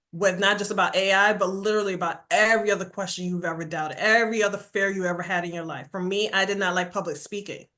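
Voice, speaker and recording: A woman who grew up in Jamaica talks with a New York accent and a mid-range voice. She tells stories with energy, slipping into quoted voices and asking "right?" at the end of her points. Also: mid-range voice. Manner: animated storyteller, says "right?" constantly, acts out quotes. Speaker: podcast guest, burnout coach. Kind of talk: casual conversational interview.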